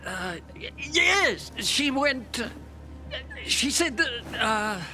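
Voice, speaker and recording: A man's voice: extremely high pitch, sing-song, drawn-out words, bright, forceful tone, heavily acted. An actor playing an anxious, muddled father in a video game, stammering and flustered, unable to finish his sentences. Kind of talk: video game character acting.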